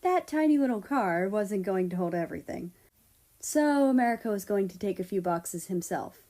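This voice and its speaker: Woman reading fan fiction out loud, narrator style, medium pitch. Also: animated, normal speed. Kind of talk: scripted story narration with character voices.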